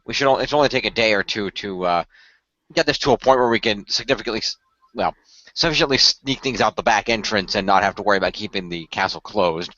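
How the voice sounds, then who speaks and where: A man playing an earnest tech expert in an amateur radio play, explaining things in a wordy, halting, technical way. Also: lowish voice, sharp tone, dry recording. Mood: explanatory. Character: earnest male tech expert of a hero team, wordy planner. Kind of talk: amateur radio play, improvised-sounding dialogue. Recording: dull lo-fi voice recording.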